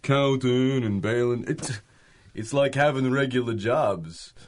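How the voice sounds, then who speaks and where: A man's voice: mid-range voice, slow pace, lightly acted. An actor playing a dim, whining henchman in a 1930s-style radio crime drama, grumbling in ungrammatical street slang. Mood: grumbling, hesitant.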